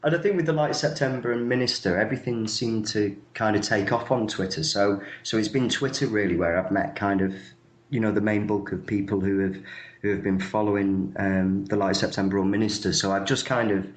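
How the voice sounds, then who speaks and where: Low-voiced man in an echoey room. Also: brisk. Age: 30s. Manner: hesitant, self-effacing, often repeating words. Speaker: actor being interviewed. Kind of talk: casual interview conversation.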